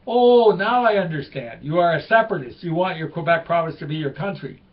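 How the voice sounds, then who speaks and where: A man's voice: a little high, expressive, brisk, muffled recording. A man voicing a puzzled Norwegian tourist who speaks broken English.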